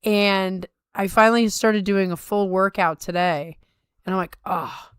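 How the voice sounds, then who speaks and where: A woman's voice: medium pitch, steady tone, measured pace, smooth, no echo, clean studio sound. A middle-aged woman co-hosting a sports talk show, giving casual, emphatic and colloquial commentary. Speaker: sumo talk show co-host. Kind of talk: casual chat with opinionated commentary.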